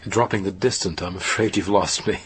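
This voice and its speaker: A man's voice: sits low, fairly even intonation, fairly quick, a little hiss or hum, lightly acted, poor audio. An actor playing a formal, politely probing male official asking questions in a radio drama, with a reserved manner.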